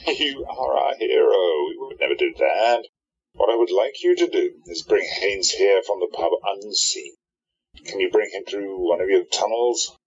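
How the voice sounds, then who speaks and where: A man acting a husky, deep-voiced commanding hero. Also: animated, measured pace, exaggerated voice. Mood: pleased, commanding. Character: confident time-traveling hero, leading a rescue plot. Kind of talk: amateur audio drama acting. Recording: dull, muffled lo-fi recording.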